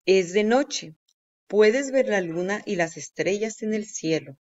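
Woman doing a read-aloud for little kids, unhurried and deliberate, bit lo-fi. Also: mid-range voice, expressive, darkish tone. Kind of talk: children's nonfiction book read-aloud. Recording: dull, lo-fi sound.

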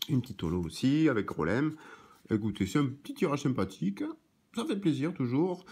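Hoarse man opening trading cards. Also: medium pitch. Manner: reads card names in quick succession, chatty asides. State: slightly hoarse, clearing throat. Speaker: card shop owner and YouTuber. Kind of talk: casual card-opening vlog. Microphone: camera framing hands and cards, speaker unseen.

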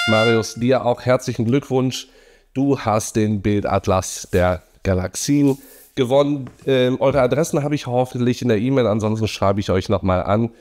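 A middle-aged man with a cold, sounding hoarse and nasal, hosting a casual astrophotography sky-preview video from his home study. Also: medium pitch. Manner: lively, animated presenting. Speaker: astrophotography channel host. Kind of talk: casual presenting, sky preview and prize draw.

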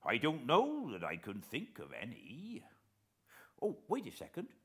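A man's voice: medium pitch, huge pitch swings, measured pace, a bit bright, husky, pitched above the performer's own voice, full character voice. A British man voicing a respectful, musing old coast guard officer in a storybook reading. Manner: deferential, musing, helpful.

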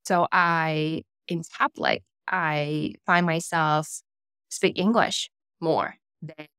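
A thoughtful young woman talks through her ideas as a podcast co-host, often checking in with a "right?". Her voice is a little low, and the sound is dry and close from a laptop webcam in a small room. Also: fairly even intonation, drawn-out words, fairly bright tone, smooth, dry recording. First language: Mandarin. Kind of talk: casual chat. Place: small plain room indoors. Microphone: laptop webcam on a video call.

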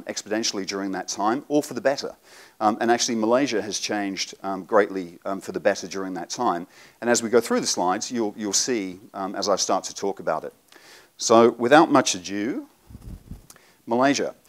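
A man in his forties giving a live seminar talk to an audience in a conference room, relaxed and explanatory with frequent ums. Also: fairly low pitch. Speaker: presenter.

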